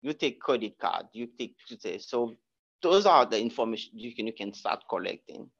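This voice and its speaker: Man, guest speaker, halting repeated phrases, middle pitch, slightly muffled sound. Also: expressive, vocal fry, fairly bright tone, husky. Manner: halting, with many restarts and repeated words. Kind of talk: answering audience questions at a meetup talk. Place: lecture room.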